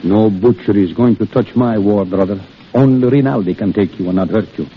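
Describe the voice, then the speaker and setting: An actor playing a worldly, affectionate European army surgeon with theatrical, formal phrasing in a 1930s radio drama. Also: male voice, lowish voice, a little fast, close to an everyday voice. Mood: soothing. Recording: tinny, scratchy old radio recording.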